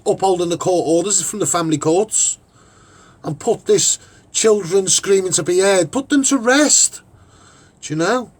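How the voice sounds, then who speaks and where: A man with a Scouse accent and a husky voice giving an off-the-cuff, opinionated monologue, emphatic and rambling, often repeating his phrases. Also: high-pitched. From Liverpool, England. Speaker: online broadcaster and activist.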